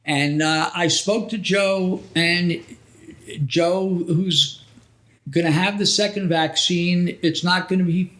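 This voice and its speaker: Higher-pitched mature man, casual podcast co-host. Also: steady tone, takes it slowly, fairly bright tone. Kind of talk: casual conversational podcast talk.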